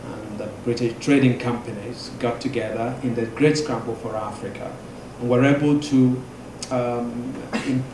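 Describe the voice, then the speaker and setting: A man with a Nigerian accent speaks slowly and deliberately, pausing on frequent fillers as he lectures. The sound is roomy and reverberant, with clear background noise under him. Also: medium pitch. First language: Igbo. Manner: measured, halting, many fillers. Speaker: guest speaker. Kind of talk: public lecture. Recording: echoey room with noise.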